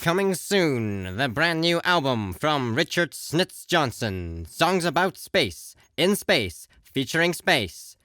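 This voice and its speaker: An actor playing a slick, promotional announcer in a parody advert within a comedy radio play. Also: male voice, a little high, big pitch swings, takes it slowly, hardly any pauses, pushed and bright, dry recording, exaggerated voice. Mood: slick hype. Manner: punchy, promotional. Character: slick male advert announcer, cheerfully damning.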